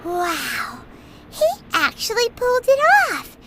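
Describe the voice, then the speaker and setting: A woman voicing a tiny, cheeky fairy girl companion in a video game, squeaky and fretful. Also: big pitch swings, takes it slowly, gravelly, heavy background noise, exaggerated voice. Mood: amazed, concerned. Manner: squeaky, fretful, refers to herself by name. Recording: loud music behind the voice.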